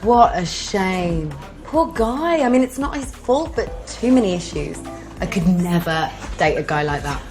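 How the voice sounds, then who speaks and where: A woman's voice: on the low side, animated, measured pace, a little mellow. A young woman acting a polite, everyday character in a naturalistic live-action game scene. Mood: pitying. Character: Louise, polite young woman chatting with her friend. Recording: music playing behind.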